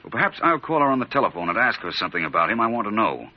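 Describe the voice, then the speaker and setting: Suave gentleman sleuth, very low voice, lively intonation, urbane, witty, vintage radio drama. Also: very fast. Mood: dryly amused. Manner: urbane, precise, coolly reasoning. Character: suave, witty, self-assured male amateur detective. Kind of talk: 1940s radio detective drama acting. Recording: dull vintage radio recording.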